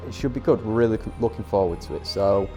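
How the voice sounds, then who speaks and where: A man's voice: on the low side, fast, over music. A man co-hosting a podcast in casual chat, sounding nasal from a head cold, rambling with many ums. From England. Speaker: podcast co-host.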